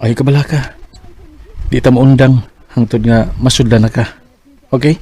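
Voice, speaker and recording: A man's voice: mid-range voice, steady tone, normal speed, dark tone, lightly acted. A man voicing an earnest, jokey young husband in an amateur radio drama. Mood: tender. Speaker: voice actor.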